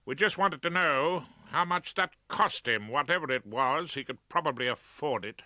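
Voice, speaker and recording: Audiobook role, male reader: bereaved young British public-school brother, charming, modest, aggrieved, higher and sharper voice. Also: expressive, moderate pace, bright, forceful tone, no room sound, studio quality. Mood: politely aggrieved. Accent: British English. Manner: modest, inoffensively charming, deferential.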